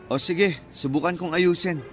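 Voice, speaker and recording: A man's voice: on the high side, normal speed, a bit bright, faint music behind, lightly acted. A man playing a modest, obliging young mechanic in a Tagalog radio drama, polite and easygoing in manner. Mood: polite, helpful.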